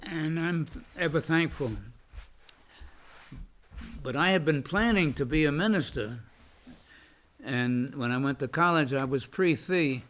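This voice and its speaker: An older man, a veteran, recalling his past in an oral history interview, halting with many restarts and fillers and a loud voice. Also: a little high. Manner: says he has a loud voice.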